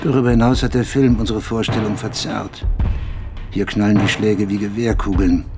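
A man reading scripted, essay-like narration in a measured, matter-of-fact way. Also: lowish voice, little pitch movement, over music.

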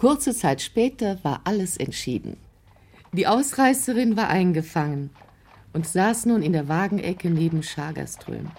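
A woman's voice: lowish voice, animated, a little slow, a little mellow, husky. A woman narrator reading scripted literary narration for a drama. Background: music.

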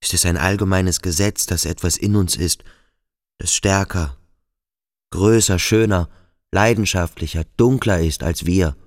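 A male actor playing a brooding, introspective schoolboy who questions himself slowly and deliberately in a literary radio drama. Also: low voice, no room sound, clean studio sound. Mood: quietly wondering. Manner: slow, deliberate, self-questioning. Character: brooding, introspective schoolboy pondering his own strangeness.

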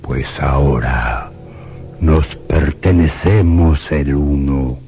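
An actor playing a menacing, commanding Satan statue come to life in a radio horror drama, with a gruff, solemn, threatening delivery. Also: male voice, very slow, mellow, slight background noise, exaggerated voice. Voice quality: gruff, booming.

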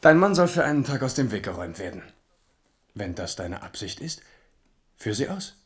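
A man's voice: mid-range voice, sing-song, normal speed, natural voice. An actor playing an amorous young French king in a German radio play, playful and teasing as he woos. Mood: teasing, sly. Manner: wooing, teasing.

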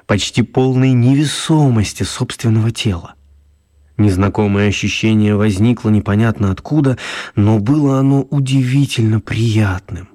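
A male actor as the storyteller of a children's radio play, narrating expressively in a husky voice. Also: medium pitch, a little mellow, natural voice.

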